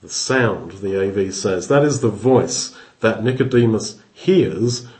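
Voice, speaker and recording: A man's voice: on the low side, slow pace, a little mellow. Man teaching scripture thoughtfully. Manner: thoughtful, restarts phrases, explains word by word. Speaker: Bible teacher. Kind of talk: expository Bible teaching.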